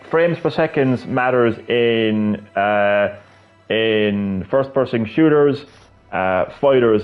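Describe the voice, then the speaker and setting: A man's voice: mid-range voice, muffled recording. A man, chatting casually while he plays a fighting game, rambling with lots of fillers and restarts. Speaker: gaming streamer. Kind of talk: casual gameplay commentary.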